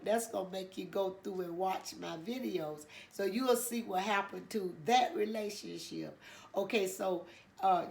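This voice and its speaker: A woman's voice: mid-range voice, creaky. An older woman hosting her own channel, chatting casually and telling a church story in a nasal, congested voice, rambling with many fillers. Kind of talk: casual chat.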